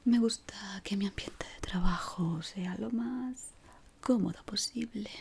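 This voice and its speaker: A woman doing an ASMR roleplay in a breathy whisper, playing a polite but exacting decorator of an eerie office maze. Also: mid-range voice, slight background noise. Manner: slow, deliberate, pausing, softly spoken.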